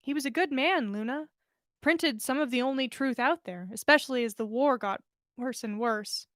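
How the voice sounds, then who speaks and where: Woman reading as awkward young man, high voice, hesitant, modest, earnest, storybook, dry sound. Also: normal speed, a bit bright, no echo. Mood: sympathetic, amazed. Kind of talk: fan fiction story reading.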